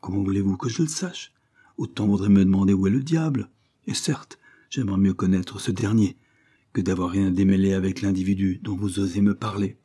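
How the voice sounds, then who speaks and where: Un homme jouant Afy, jeune femme véhémente. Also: male voice, fairly quick, mellow, husky. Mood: heated. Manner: high-pitched, vehement, indignant. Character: brash young woman, quick-tempered maid. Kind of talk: audiobook character voice.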